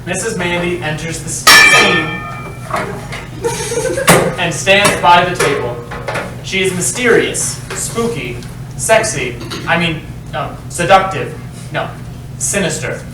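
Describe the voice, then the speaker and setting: A man narrates the stage directions of a staged reading in a fairly high voice. He is distant from the microphone, with clear noise in the recording. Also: slow, pauses often. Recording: echoey noisy room from far off.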